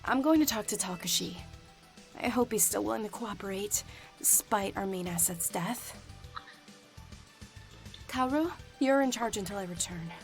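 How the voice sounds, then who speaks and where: An actress playing a stern, weary team boss in a visual novel game. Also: female voice, medium pitch, expressive, normal speed, pauses often, soft background music, close to an everyday voice. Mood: commanding.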